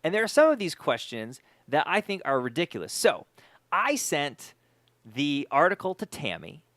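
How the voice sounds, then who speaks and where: A man's voice: fairly high pitch, huge pitch swings, moderate pace, fairly bright tone, no room sound. A man hosting a podcast in casual chat, speaking emphatically and often repeating words for emphasis. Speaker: podcast host.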